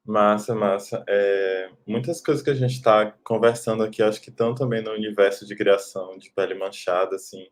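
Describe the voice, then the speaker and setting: Man, low voice, reflective, hesitant, video call. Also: steady tone, normal speed, few pauses. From Brazil, Salvador. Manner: reflective, hesitant, many fillers. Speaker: film director. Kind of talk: online film festival panel.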